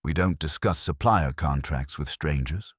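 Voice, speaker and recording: A man's voice: rumbling low, measured pace, husky, dry recording, studio quality, exaggerated voice. A man voicing a smug bakery heir in his thirties, curt, entitled and polished, in a detective story. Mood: cold, threatening.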